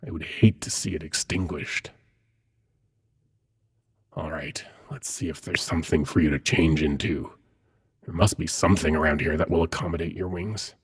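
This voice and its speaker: Man acting a husky, low-voiced seductive demon prince. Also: fairly even intonation, moderate pace, pauses often, full character voice. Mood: tender, hushed. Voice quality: husky, breathy, often near whisper. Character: teasing, seductive male demon prince, gently menacing. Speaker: ASMR roleplay voice actor. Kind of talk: intimate ASMR roleplay monologue.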